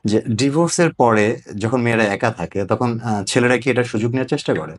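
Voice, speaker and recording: A man's voice: mid-range voice, lively intonation, fast talker. A man with a Bangladeshi accent interviewing someone in a conversational, explanatory way.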